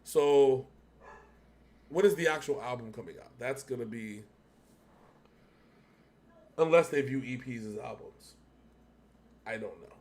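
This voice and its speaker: A man's voice: medium pitch, measured pace, pauses often, a little mellow. A man hosting a casual music reaction chat, rambling and often correcting himself as he thinks aloud. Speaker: reaction show host.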